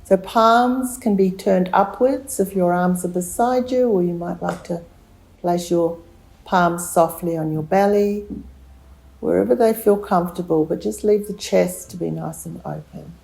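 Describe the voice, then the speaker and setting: Slow, low-voiced woman guiding meditation. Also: gravelly, low-quality recording. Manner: soothing, unhurried, gentle instruction with long pauses. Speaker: guided meditation teacher. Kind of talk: slow guided relaxation meditation.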